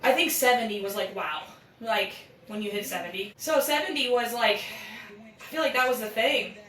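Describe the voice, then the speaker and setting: A woman in her thirties with a raspy voice, co-hosting and giving casual live sports commentary in an enthusiastic, candid way. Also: a little high, moderate pace, a bit bright. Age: 30s. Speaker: podcast co-host and amateur sports commentator. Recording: roomy echo, distant from mic.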